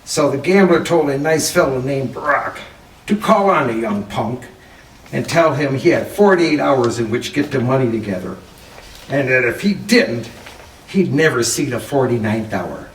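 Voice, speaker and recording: An actor playing a coolly mocking gangster enforcer in a crime radio play, with deliberate, sardonic delivery. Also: male voice, medium pitch, animated, natural voice. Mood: mocking threat. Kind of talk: 1940s crime radio drama acting. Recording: echoey room, distant mic, background noise.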